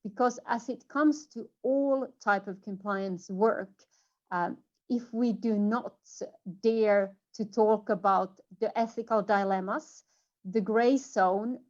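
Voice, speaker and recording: A woman's voice: mid-range voice, muffled recording. Finnish woman presenting over a video call. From Finland. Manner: hesitant, many fillers and restarts. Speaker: conference speaker. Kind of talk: remote conference presentation.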